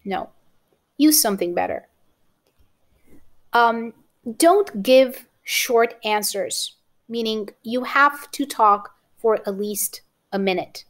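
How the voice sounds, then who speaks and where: A woman's voice: on the high side, expressive, slow, long pauses, fairly bright tone. A woman with a Romanian accent teaching an exam-prep lesson to camera, encouraging and often checking in with "okay?". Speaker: English teacher.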